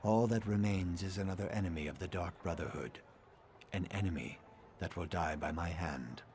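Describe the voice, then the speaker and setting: An actor playing a grim, vengeful assassin in a fantasy game, speaking in a measured, menacing, formal way. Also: male voice, low voice, a little mellow, slight background noise. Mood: bitter, vengeful. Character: grim vengeful male assassin of a dark brotherhood. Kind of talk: scripted fantasy game dialogue.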